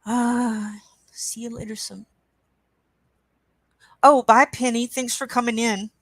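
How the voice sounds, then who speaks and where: A woman with a croaky voice hosting a coloring livestream, chatting casually and reading chat aloud at an easy pace. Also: a little high, fairly even intonation, no room sound. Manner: slow, unhurried, reads chat aloud with soft asides. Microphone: webcam.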